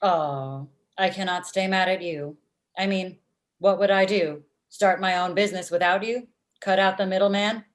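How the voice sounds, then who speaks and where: A woman's voice: mid-range voice, moderate pace, close to an everyday voice. Lady doing a touchy female robot matchmaker, stilted wording, comedy read on a video call. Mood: softening, scheming. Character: aggrieved, easily won-over female robot matchmaker. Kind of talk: remote table read of a comedy script.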